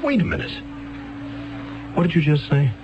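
A man's voice: a little high, sing-song, normal speed, muffled recording, noisy background. An actor playing an exasperated, earnest male defense lawyer in a radio drama, stammering and pleading insistently. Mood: baffled, insistent. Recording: band-limited 1970s radio broadcast with music.

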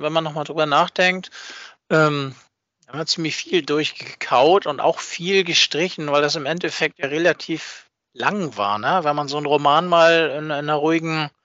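A man's voice: fairly high pitch, bright, forceful tone, no echo. A man co-hosting a fan podcast, chatting casually and searching for words, often checking for agreement. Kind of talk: casual chat about a hobby production. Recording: slightly dull, dry close recording.

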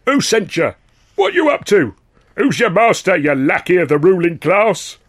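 A man voicing a hostile male heckler in a crowd, shouting accusations. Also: sits high, big pitch swings, normal speed, voice pushed up.

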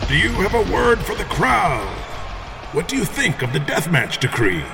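A man's voice: high voice, huge pitch swings, creaky, music in the background, full character voice. A man playing a flamboyant, bombastic ring announcer in an audio drama, drawing out his words like a showman. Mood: bombastic showmanship. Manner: bombastic, drawn-out showman delivery. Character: flamboyant male arena ring announcer at a deathmatch.

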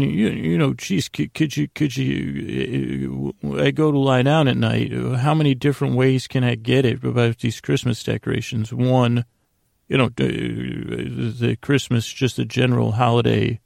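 Man, heavy vocal fry, dry close sound, halting, meandering, self-deprecating, rambling comedic podcast monologue. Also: mid-range voice, a little mellow, no room sound. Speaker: podcast host.

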